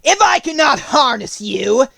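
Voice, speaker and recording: A man's voice: very high voice, very animated, unhurried, gravelly, pushed and bright, dry recording, pitched above the performer's own voice, heavily acted. A man voicing a cruel wicked witch from a storybook, harsh, severe and menacing, drawing out the threats. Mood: menacing, commanding.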